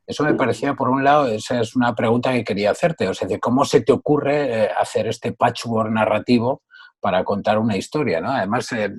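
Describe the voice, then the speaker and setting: A lower-pitched man with a husky, raspy edge, sounding close and dry over a video call. He joins a book club discussion hesitantly, groping for words and filling the gaps as he builds his question. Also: darkish tone, dry recording. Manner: hesitant, searching for words with many fillers. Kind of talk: casual discussion.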